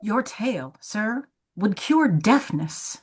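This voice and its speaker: A woman as young daughter, unhurried, earnest, tender-hearted, attentive, measured Shakespearean verse. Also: mid-range voice, sing-song, breathy edge, heavily acted. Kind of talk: amateur Shakespeare play reading. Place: online video call.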